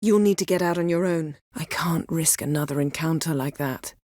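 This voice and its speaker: Haughty sorceress for a fantasy game , played by a woman: lowish female voice, imperious, cutting, wry and formal, guarded yet devoted; very dry studio sound. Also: normal speed, darkish tone, dry recording, natural voice. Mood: tense, urgent. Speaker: video game character. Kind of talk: acted video game dialogue.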